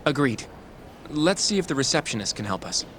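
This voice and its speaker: A man's voice: medium pitch, very animated, a little fast, fairly bright tone, a little hiss or hum. A man playing an earnest young attorney in a video game drama, courteous but firm and matter-of-fact. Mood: concerned, thoughtful. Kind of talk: English-dubbed video game drama acting.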